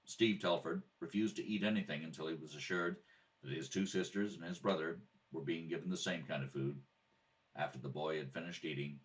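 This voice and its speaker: A man playing a calm, patient police detective in an old-style radio drama, matter-of-fact and deadpan. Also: a bass voice, pitched below the performer's own voice. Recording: distant mic in a home kitchen.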